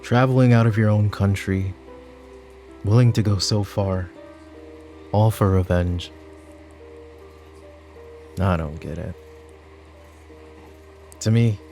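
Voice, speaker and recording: Man acting a low, exasperated mafia boss over music. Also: mellow. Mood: firm, puzzled. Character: exasperated, weary male mafia boss, secretly principled. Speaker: voice actor in audio roleplay. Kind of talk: ASMR audio drama roleplay.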